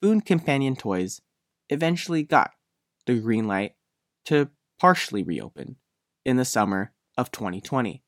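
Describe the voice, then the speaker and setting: A male reporter narrates a written news feature. His voice sits at a middling pitch and moves in measured, deliberate phrases. The sound is dry, with no room around it. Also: very animated, dry recording.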